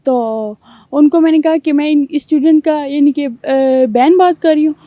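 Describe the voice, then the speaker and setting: A young man answering interview questions in a girlish falsetto, rambling with fillers. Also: dark tone, dry recording. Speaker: guest.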